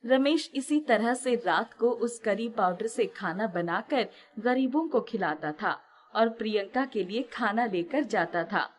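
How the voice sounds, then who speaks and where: A female narrator tells a moral bedtime story from a script. Her voice is slightly high and her delivery very unhurried. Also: expressive.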